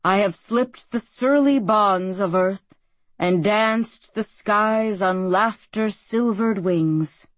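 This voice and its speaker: Fervent male preacher, slow rolling cadence, read by a woman narrator, dry studio audio. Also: medium pitch, dry recording, lightly acted. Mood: reciting. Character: male pastor preaching a televised wedding sermon. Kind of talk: audiobook character reading.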